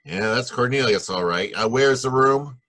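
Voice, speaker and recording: A man playing a hard-boiled private detective in a 1940s-style radio drama, wry, brisk and tough-talking, with a husky voice. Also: medium pitch, fairly bright tone, natural voice. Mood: brisk, commanding.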